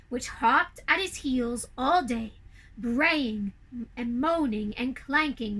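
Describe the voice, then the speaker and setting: A woman storyteller narrating a tale for children in an expressive, theatrical and deliberate way. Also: high-pitched, poor audio.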